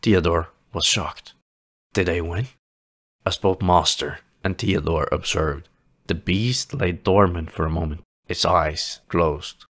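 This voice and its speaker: A man's voice: low voice, slow, a bit bright. A man narrating a scripted fantasy audio play as a dramatic storyteller. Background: music.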